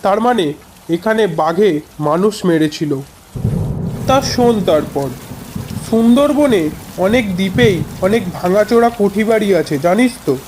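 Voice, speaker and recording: A man playing a grandfatherly retired forest officer who tells his ghost adventure in a measured, conversational way for a horror cartoon. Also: high-pitched, animated, background noise. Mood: measured, suspenseful. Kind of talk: dramatised horror storytelling. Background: background music.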